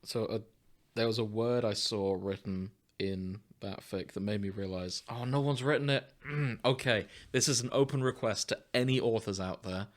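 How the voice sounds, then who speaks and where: A man with a fairly low voice chats as a podcast host. His delivery is casual and enthusiastic, full of joking banter with his co-hosts. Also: normal speed, hardly any pauses.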